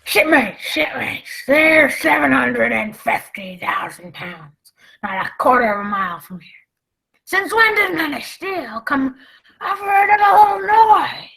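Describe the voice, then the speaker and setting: Woman playing gravelly, commanding pirate ringleader Long John Silver. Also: high voice, expressive, measured pace, a bit bright, full character voice. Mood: scornful, persuading. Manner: blustering, theatrical pirate talk. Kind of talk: stage-style radio play acting.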